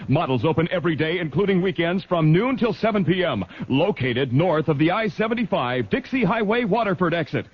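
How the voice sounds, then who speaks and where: A man's voice: fairly high pitch, animated, fairly quick, hardly any pauses, breathy edge. A man reading a hard-sell vintage radio commercial as its announcer. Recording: muffled old radio broadcast.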